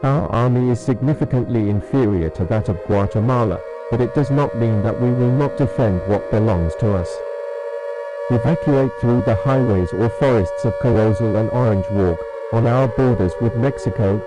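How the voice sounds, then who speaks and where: A man's voice: mid-range voice, moderate pace, music in the background, natural voice, rough recording. Man acting as a solemn emergency alert announcer. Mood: grave, calm. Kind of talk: scripted emergency broadcast announcement.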